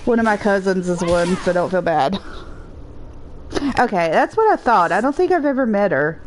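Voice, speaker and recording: A woman's voice: medium pitch, lively intonation, moderate pace, slight background noise, poor audio. A woman with a Southern US accent, chatting casually as she livestreams a game. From Southern United States. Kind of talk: casual chat while streaming a game.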